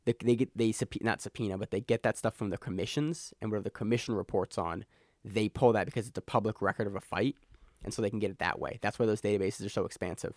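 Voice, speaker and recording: Fast-talking man on a podcast. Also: lowish voice, fairly even intonation, dry recording, clean studio sound. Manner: fast, joking, talks over his co-host. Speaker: podcast co-host. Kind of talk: casual podcast chat.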